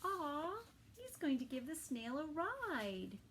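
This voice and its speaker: A woman reading a picture book aloud to toddlers as a story time reader, with sing-song phrasing and soft asides. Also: sits high, sing-song, slow pace, a little hiss or hum.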